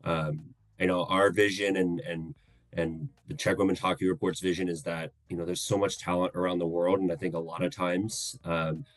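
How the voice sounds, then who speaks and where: A man in his thirties, guest co-host on a podcast, introducing himself in an earnest, measured way with many hesitations. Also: sits low, little pitch movement, dry recording. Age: 30s.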